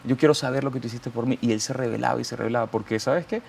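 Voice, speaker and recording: Venezuelan guy preaching his testimony, talks fast, fervent, quotes scripture. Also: medium pitch, fairly even intonation, vocal fry, a little mellow, slight background noise. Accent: Venezuelan Spanish. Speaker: interview guest. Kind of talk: testimony interview.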